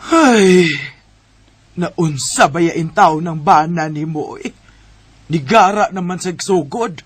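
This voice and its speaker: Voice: medium pitch, takes it slowly, no room sound. An actor with a Cebuano Bisaya accent playing a blunt, suspicious, meddling older parent in a radio drama. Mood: concerned. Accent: Cebuano Bisaya Filipino.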